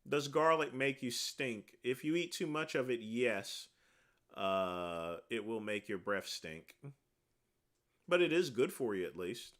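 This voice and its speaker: A deep-voiced man hosts a casual livestream and rambles through viewer questions one by one. His pitch moves a lot as he talks, and his tone is bright and forceful. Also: expressive, takes it slowly. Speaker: livestream host answering chat.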